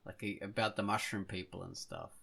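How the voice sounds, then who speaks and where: A man's voice: lowish voice, huge pitch swings, fairly quick, creaky, pushed and bright, slightly rough. A man hosting a podcast, telling stories in a casual, rambling, hesitant way. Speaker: podcast host.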